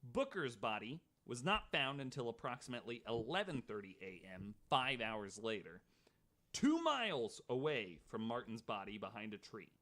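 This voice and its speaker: A man's voice: mid-range voice, sing-song, normal speed, a bit bright. A man hosting a podcast, reading prepared true-crime notes and dropping in casual asides. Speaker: podcast host.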